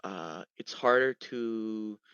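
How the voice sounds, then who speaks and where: A man's voice: on the low side, slow, fairly bright tone, clear, resonant tone, no room sound, lo-fi sound. A young man from Hawaii answering interview questions casually, with many fillers and restarts. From Hawaii, USA.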